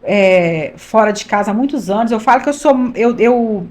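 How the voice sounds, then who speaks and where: A woman's voice: medium pitch, lively intonation, normal speed, darkish tone. A woman in her fifties, a pastor's wife from Minas Gerais, telling her life story on a podcast in an earnest, emphatic way, often repeating phrases. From Minas Gerais, Brazil. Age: 50s. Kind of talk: conversational testimony.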